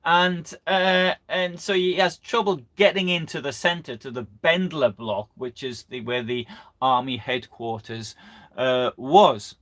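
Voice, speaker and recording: A man presenting history in an unscripted live lecture, halting and often restarting his phrases. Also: medium pitch, fairly even intonation, a bit bright. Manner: halting, repeats and restarts phrases mid-sentence. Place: live online broadcast.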